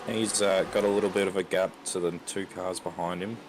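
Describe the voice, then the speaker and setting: A man with a croaky voice giving colour commentary on a sim race. He is halting, with many ums and restarts. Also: low-pitched, steady tone, background noise.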